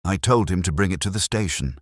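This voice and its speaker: Man, very low-pitched voice, expressive intonation, dry close sound, measured detective-story narration. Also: dry recording. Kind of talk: scripted first-person mystery narration.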